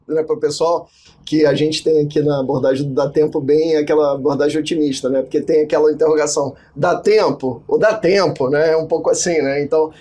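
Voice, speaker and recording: A man's voice: on the high side, level tone, fast, warm tone, breathy edge. A man co-hosting a podcast, chatting casually and often tagging his phrases with little questions. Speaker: podcast co-host. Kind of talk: casual chat.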